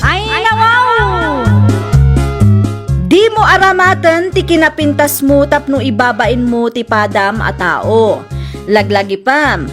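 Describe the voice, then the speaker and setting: Brief: high-voiced woman host from the Philippines, slow announcer delivery over music. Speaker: radio drama host. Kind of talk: scripted program outro.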